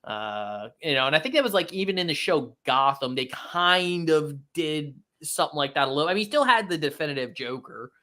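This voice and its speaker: A man's voice: on the high side, animated, fairly quick, hardly any pauses, bright, forceful tone. A man in casual fan discussion, talking in a hedging, roundabout way with many filler phrases. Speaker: guest co-host from a geek show.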